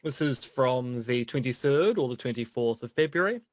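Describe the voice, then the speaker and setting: A middle-aged man co-hosting a podcast in casual chat, wry and deadpan in his jokes. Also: mid-range voice, normal speed, no room sound. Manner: dry, wry joking. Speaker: podcast co-host.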